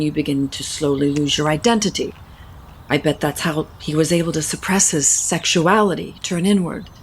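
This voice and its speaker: Middle-aged mother, genteel, protective, low gravelly voice, audio soap, faint garden ambience. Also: slight background noise. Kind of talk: audio soap opera acting.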